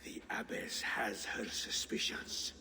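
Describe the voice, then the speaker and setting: An actor playing an earnest, courteous lord in a video game, speaking in a formal, measured manner. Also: male voice, pushed and bright. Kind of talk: video game dramatic acting. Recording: long hall reverb, faint background noise.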